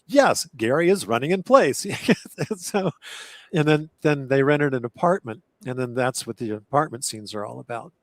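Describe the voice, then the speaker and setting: A man's voice: medium pitch, animated, moderate pace, dry recording. An older man, an actor guest on a casual podcast, rambling through memories with frequent restarts and laughter, speaking over a remote call. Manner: rambling, self-correcting, laughs often.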